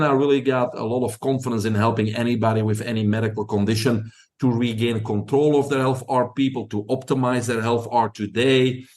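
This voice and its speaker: A man's voice: mid-range voice, a little mellow. A middle-aged man from Belgium with a Belgian accent, a podcast guest telling his story in long, confident answers.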